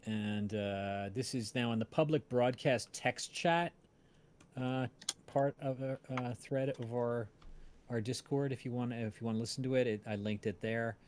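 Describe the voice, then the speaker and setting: A man's voice: mid-range voice, moderate pace, a little mellow, slight background noise. A man hosting a casual livestream chat over an online voice call. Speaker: livestream host.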